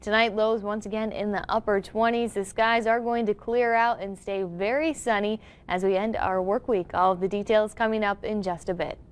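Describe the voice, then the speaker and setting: A woman's voice: medium pitch, fairly even intonation, moderate pace, hardly any pauses, smooth. An American woman presenting a weather forecast as a TV meteorologist.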